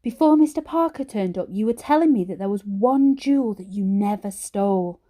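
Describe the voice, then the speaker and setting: A woman's voice: mid-range voice, animated, moderate pace, warm tone, natural voice. Woman playing young schoolboy, storybook grandson, eager, adventurous, insistent, pleading.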